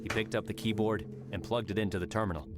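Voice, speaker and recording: A man narrating an audiobook in a steady, measured storytelling voice. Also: lowish voice. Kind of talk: scripted narration. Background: music.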